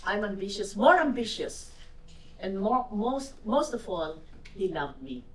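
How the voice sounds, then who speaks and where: Older Filipino woman, guest at a fireside chat, lively storyteller, heard from afar in an echoey hall. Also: medium pitch, a little slow, distant mic, slight background noise. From Philippines. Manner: animated storytelling, acting out quoted remarks. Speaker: guest speaker. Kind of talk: fireside chat answering audience questions. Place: event hall.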